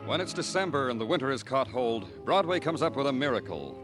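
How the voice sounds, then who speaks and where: An actor playing a world-weary New York homicide detective with a deliberate, hard-boiled, poetic delivery in 1950s radio noir style. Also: male voice, mid-range voice, very animated, natural voice. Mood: wry, brooding. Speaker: lead actor and narrator. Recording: vintage 1950s radio recording, music behind.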